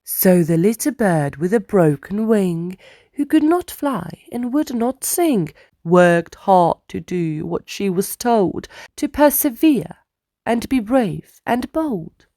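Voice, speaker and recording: A woman's voice: medium pitch, animated, slow pace, warm tone, dry recording, studio quality. A woman storyteller reading a rhyming children's book aloud as scripted narration.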